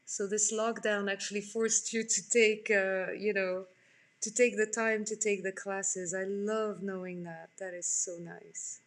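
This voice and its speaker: A woman's voice: mid-range voice, little pitch movement, measured pace. A woman in her forties from France, chatting casually with viewers as she draws in a live online class at home. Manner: chatty, answers viewer comments. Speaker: art instructor hosting a live stream. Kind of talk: casual chat while drawing. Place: home room, indoors.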